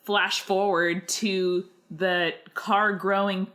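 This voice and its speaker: A woman's voice: medium pitch, flat delivery, drawn-out words, a bit bright. A woman co-hosting a podcast in casual chat, with a wry, joking manner. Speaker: podcast co-host.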